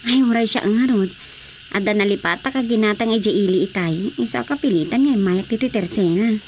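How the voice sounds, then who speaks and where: A woman's voice: mid-range voice, a little fast, a little mellow, close to an everyday voice. A woman with an Ilocano accent playing a talkative, concerned village neighbour in a radio drama comedy. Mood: concerned, chatty. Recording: faintly noisy radio drama track.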